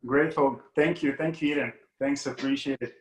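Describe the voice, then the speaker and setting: A man's voice: medium pitch, steady tone, fairly quick, echoey room, rough recording. A man from Lithuania, a money mindset coach talking as a guest in an interview, rambling with many fillers and restarts. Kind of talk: casual interview talk.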